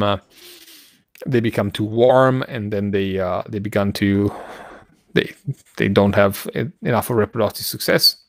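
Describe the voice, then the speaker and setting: A middle-aged man with a gravelly voice, co-hosting a casual science news discussion in a halting way, searching for words. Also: on the low side, no echo, studio quality. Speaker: podcast co-host. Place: home room, video call. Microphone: headset on a video call.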